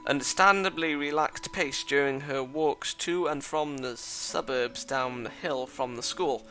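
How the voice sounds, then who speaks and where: A man's voice: medium pitch, unhurried, bright, forceful tone. A man reading a thoughtful teenage schoolboy's lines and inner narration in a plain, reflective way, with faint music behind.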